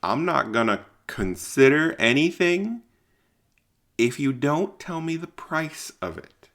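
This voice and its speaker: A man's voice: a little high, expressive, slow pace, fairly bright tone. A man performing first-person audio drama narration and dialogue in an informal, hesitant way, as if thinking aloud.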